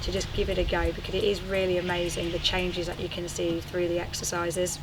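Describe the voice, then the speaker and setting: Woman, studio owner; slightly low voice, distant mic, strong music bed. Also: completely flat delivery, brisk. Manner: earnest, with frequent "um" fillers. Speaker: studio owner and Pilates teacher. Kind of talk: promotional talk.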